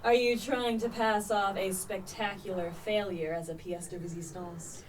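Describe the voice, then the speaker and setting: A woman's voice: mid-range voice, steady tone, moderate pace, lightly acted. Distant, wry young male poet-spy, played by a woman. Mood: teasing, challenging. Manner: wry, literary, articulate. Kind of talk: radio play monologue and dialogue. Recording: distant mic with background noise.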